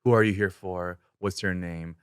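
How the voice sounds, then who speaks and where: A man's voice: low voice, fairly even intonation, moderate pace, clear, resonant tone, no room sound, studio quality. A young man born in South Korea, a fashion company founder, chatting casually as an interview guest and laughing easily.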